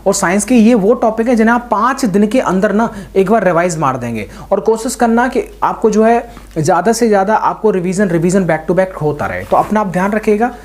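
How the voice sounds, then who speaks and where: A woman's voice: medium pitch, expressive, brisk, darkish tone. A woman giving informal exam coaching, speaking directly to students and repeating points for emphasis. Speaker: exam-prep teacher.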